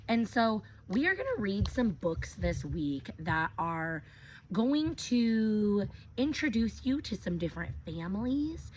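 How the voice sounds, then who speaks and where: A woman teacher reading a picture book aloud to children, gently stopping to ask them questions. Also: medium pitch. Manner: gentle, asks children questions between pages. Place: outdoors with her dogs. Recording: dull lo-fi recording with clear background noise.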